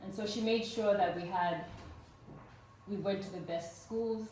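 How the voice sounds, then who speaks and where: A woman in her forties from Uganda tells her personal story to a live audience at an event, earnest and warm, with frequent "um" fillers. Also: mid-range voice, steady tone, measured pace. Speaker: speaker at a fundraising event. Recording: roomy echo, voice from far off, faint noise.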